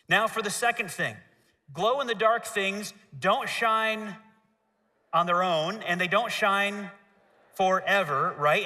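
A man in his thirties giving a children's sermon on a church stage, asking the audience to repeat after him. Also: sits high. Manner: lively, gestures and asks the audience to repeat. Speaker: pastor. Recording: hall reverb.

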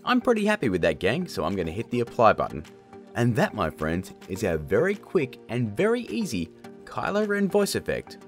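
A man in his thirties energetically hosting a software tutorial, chatty and jokey, from a home studio. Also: mid-range voice, huge pitch swings, moderate pace, faint music behind. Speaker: video tutorial host.

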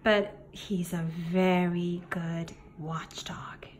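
A woman reading a picture book aloud to young children, in simple phrases with deliberate pauses. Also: lowish voice, fairly even intonation. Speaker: children's story reader. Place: indoor room, book filmed on a table. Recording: faint room noise behind the voice.

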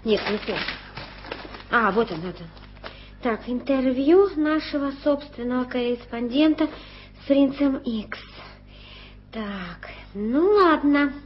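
A cheeky street kid. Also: female voice, sits high, expressive, slow pace, long pauses. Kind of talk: 1980s radio play acting. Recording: dull old radio play recording with hiss.

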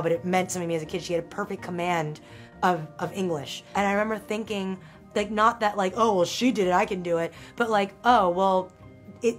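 A woman from New York talking candidly, a little haltingly, in a studio interview. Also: mid-range voice. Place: studio, colored backdrop.

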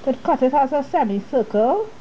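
A woman's voice: high-pitched, animated, normal speed, mellow, dull audio, a little hiss or hum. A woman with a cold and a sniffle, walking viewers through a sewing project in a chatty, casual way. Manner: chatty, talks straight to the viewer. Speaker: sewing tutorial host. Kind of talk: casual step-by-step sewing tutorial.

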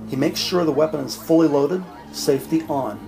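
A man narrating a crime audio drama from a script, measured and descriptive. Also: fairly high pitch. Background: music.

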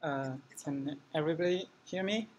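A young man from China with a Chinese accent, giving a modest, halting technical talk at a user group meeting. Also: a little high, heavy background noise. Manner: modest, hesitant restarts. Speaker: conference speaker. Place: meeting room.

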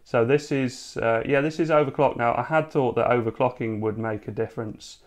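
A man's voice: medium pitch, fairly quick. A man casually narrating a hands-on tech demo at home, thinking aloud with plenty of fillers. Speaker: tech video host. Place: home room, at a dining table.

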